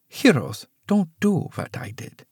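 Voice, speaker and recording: A man voicing a sharp, guarded woman in her nineties who speaks quietly and steadily, in a storybook reading. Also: high-pitched, big pitch swings, slow, husky, no echo, studio quality, pitched above the performer's own voice, full character voice. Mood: hushed remorse. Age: 90s.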